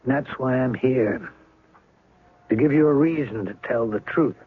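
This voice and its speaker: A man's voice: medium pitch, measured pace, husky. An actor playing a cold, scheming man who speaks in clipped, threatening lines. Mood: menacing. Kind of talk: 1970s radio drama acting. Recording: narrow 1970s radio broadcast.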